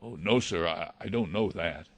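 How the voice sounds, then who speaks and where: A deep-toned butler played by a man speaks with anxious respect and formal hesitation. He sounds loyal and pleading, as in a classic mystery story, recorded very dry. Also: moderate pace, no room sound. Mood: hesitant, confiding. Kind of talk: dramatised reading of a classic novel.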